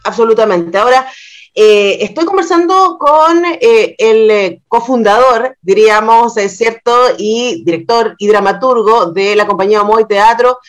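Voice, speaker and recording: A woman's voice: on the high side, unhurried. A woman with a Chilean accent hosting a conversational radio interview, reflective and often adding small tag questions as she talks. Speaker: radio host interviewing a guest.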